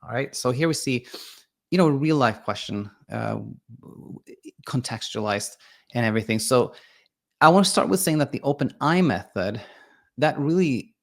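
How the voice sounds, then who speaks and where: A man's voice: mid-range voice, animated, moderate pace, creaky, fairly bright tone. A man whose first language is Swedish, working as a sleep coach, explaining ideas in a hesitant way with many restarts and fillers. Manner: reads viewer comments aloud. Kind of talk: explanatory monologue.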